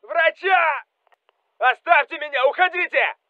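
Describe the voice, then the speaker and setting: Urgent Russian soldier, high-pitched radio barks. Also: male voice, a little slow, dry recording, narrow, tinny audio. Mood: urgent shouting. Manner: urgent, commanding. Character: Russian special forces soldier calling out battle reports. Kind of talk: military game voice lines, clipped barks.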